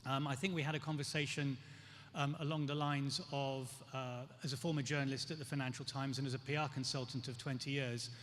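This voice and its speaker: A man's voice: medium pitch, flat delivery, a little fast, a bit bright. A middle-aged man from England, raised in Nigeria, giving a chatty, joking conference speech. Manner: chatty, joking and self-deprecating. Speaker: guest speaker, PR executive. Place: large event hall. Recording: long hall reverb, faint room noise.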